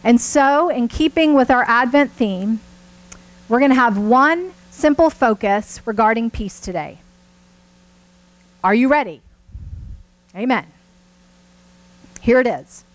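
A middle-aged woman preaching a church sermon in deliberate, emphatic phrases, checking in with her listeners. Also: on the high side, lively intonation, poor audio. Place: church sanctuary with a seated congregation.

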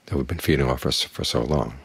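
Very deep, husky-voiced older man giving a talk. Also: quick pace, dry recording. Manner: calm, plain-spoken, uses homely images. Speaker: Buddhist monk teacher. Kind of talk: evening dharma talk.

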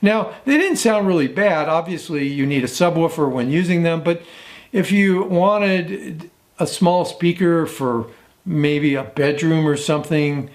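A man in his sixties hosting a casual, unscripted product review in a matter-of-fact way. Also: fairly high pitch. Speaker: host of vintage audio review.

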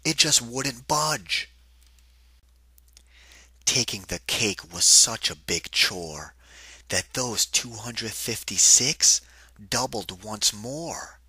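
A man giving an interactive children's read-aloud in a deliberate, singsong rhythm, like a teacher with a picture book. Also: fairly low pitch, lively intonation, bright, forceful tone, slightly rough, no echo.

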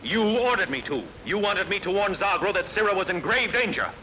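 A man's voice: high voice, animated, fairly quick, bright, forceful tone. A man playing a defiant, indignant accused warrior in a theatrical film dub. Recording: noisy old film soundtrack.

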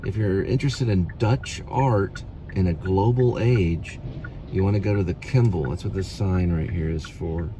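A man with a drawl talks in a very deep voice as he makes his delivery rounds, rambling and trailing off as he goes. Road and vehicle noise carries clearly around him. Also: moderate pace. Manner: rambling, offhand, trails off and repeats himself. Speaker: vlogger and delivery driver. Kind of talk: casual talk to camera.

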